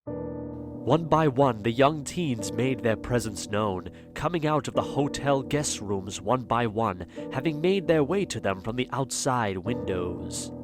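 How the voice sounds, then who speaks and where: A man's voice: mid-range voice, expressive, unhurried, music in the background. A man narrating an audio drama in a dramatic, scripted storytelling style.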